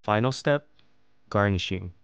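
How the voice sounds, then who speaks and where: A man narrating a fish-cutting and plating tutorial as host, explaining each step calmly. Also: fairly low pitch, a little slow, creaky, clear, resonant tone, no room sound, muffled recording. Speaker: fishing and cooking channel host. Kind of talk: calm instructional voice-over.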